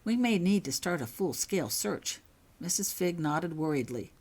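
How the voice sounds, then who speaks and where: A woman's voice: on the low side, animated, moderate pace, a little mellow, slightly rough. A woman narrating a scripted story aloud in an audiobook-like reading. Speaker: author reading own fan fiction aloud.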